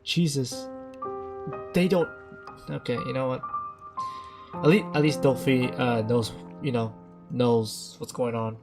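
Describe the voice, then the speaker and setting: A man's voice: medium pitch, fairly even intonation, slow pace, pauses often. A young man giving casual, slangy, rambling commentary as he plays a game, often trailing off mid-thought. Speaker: streamer playing a story game. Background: game music.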